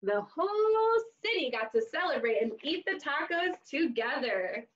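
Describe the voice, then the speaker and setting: A woman in her thirties reading a storybook aloud to young children with expressive, exaggerated delivery and dramatic pauses, in a small room. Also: sits high. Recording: dull, lo-fi webcam audio.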